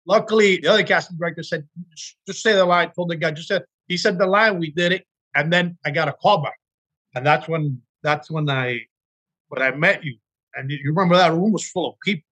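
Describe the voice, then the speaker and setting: High bright husky-voiced man. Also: brisk, rough recording. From Miami. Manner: animated storyteller, acts out quotes. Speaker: guest actor. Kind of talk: casual podcast interview storytelling.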